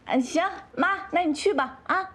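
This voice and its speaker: A woman's voice: extremely high pitch, normal speed, a bit bright. A woman playing a young wife and mother in a naturalistic screen drama, colloquial and plain-spoken. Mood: affectionate. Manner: terse, questioning, colloquial. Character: downcast, skeptical young wife and doting mother.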